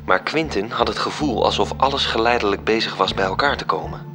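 A man's voice: fairly low pitch, fairly quick, natural voice. A man playing a composed, solemn storyteller in a literary radio drama, narrating. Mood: suspenseful. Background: music.

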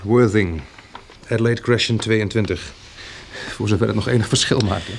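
A man's voice: on the low side, normal speed, gravelly, a little hiss or hum. An actor playing an earnest, practical man in his late twenties who survived a catastrophe, in a radio drama. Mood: plain, practical. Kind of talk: Dutch radio drama acting.

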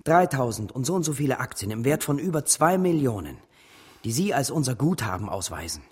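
Principled, husky-voiced, cautious businessman. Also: medium pitch, sing-song. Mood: alarmed, firm. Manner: earnest, measured objecting. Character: cautious, principled male business partner troubled by speculation. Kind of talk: German radio drama acting.

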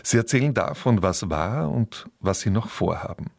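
A man's voice: fairly low pitch, animated, moderate pace, vocal fry, darkish tone, husky, no room sound, clean studio sound. A man giving a scripted introduction as a radio drama announcer. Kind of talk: scripted narration. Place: dry studio.

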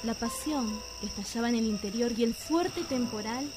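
A woman with an Argentine accent narrating a radio drama in a measured, suspenseful storytelling manner. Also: fairly high pitch. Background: music.

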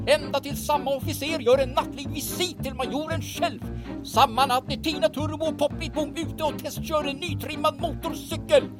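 A woman narrating an audio drama from a script, introducing it as a storyteller. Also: high voice. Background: music.

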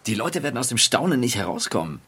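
An actor playing a confident, matter-of-fact male engineer in a dramatized documentary, with a husky voice. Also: mid-range voice, very animated, fast talker, pushed and bright, exaggerated voice. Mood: assured. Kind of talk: dramatized documentary radio play.

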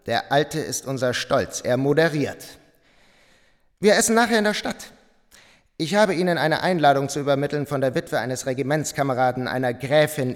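An actor playing a courteous, guarded German army staff officer in a radio drama, speaking formally and diplomatically. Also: male voice, mid-range voice, close to an everyday voice.

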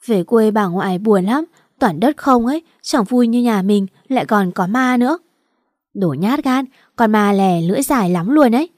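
A woman's voice: medium pitch, animated, normal speed, darkish tone, dry recording, lightly acted. A woman storyteller acts out a young big brother in an audiobook. He speaks in simple, blunt, childish phrases, and the recording is dry. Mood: teasing, matter-of-fact. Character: young boys, older brothers to a little sister, childish and blunt.

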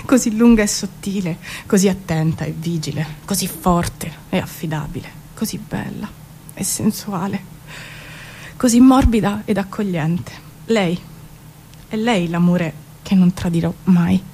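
A woman giving an expressive, measured theatrical spoken-word reading with dramatic pauses. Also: fairly low pitch, slight background noise. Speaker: performer reading her own poetic prose. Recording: music behind the voice. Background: music.

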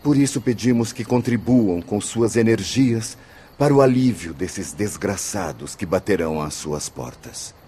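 A man's voice: fairly low pitch, animated, measured pace, mellow, slight background noise. An actor with a Brazilian accent playing an earnest young male spirit missionary in a radio drama, polite and formal. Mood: earnest, pleading.

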